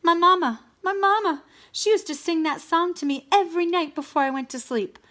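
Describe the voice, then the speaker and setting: A woman's voice: mid-range voice, expressive, measured pace, pushed and bright. A woman voicing a sly, hungry male wolf in a storybook, with theatrical, sing-song gloating. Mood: sentimental.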